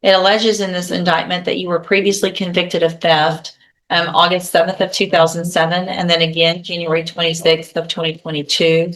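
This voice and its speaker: Low-pitched woman judge questioning methodically. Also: level tone, fairly quick, a bit bright, rough recording. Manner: procedural, methodical. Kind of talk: formal courtroom plea questioning.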